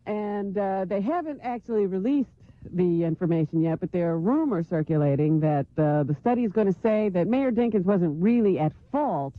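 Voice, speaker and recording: A woman's voice: mid-range voice, lively intonation, normal speed. A woman reading news on a radio show in chatty banter, laughing often, on a lo-fi, phone-like broadcast recording. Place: New York radio studio.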